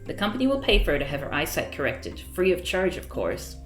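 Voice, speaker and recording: A condescending company president, speaking in measured, formal sentences with a lively, slightly low pitch as a woman streamer reads him aloud. Soft music plays underneath him. He sounds like a calculating boss from a game. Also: roomy sound. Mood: cool, formal.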